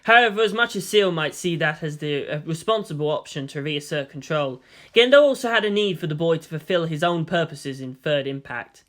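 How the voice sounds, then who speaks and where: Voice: medium pitch, fairly quick, fairly bright tone. A narrator reading fan fiction aloud as scripted, dramatic narration.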